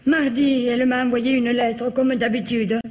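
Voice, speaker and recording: A woman's voice: fairly high pitch, level tone, normal speed, darkish tone, lightly acted. A woman acting an anxious, evasive aunt in her seventies in a French radio mystery, hesitant and plaintive. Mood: plaintive, confessing. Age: older. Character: anxious, evasive 73-year-old aunt, a widowly homebody.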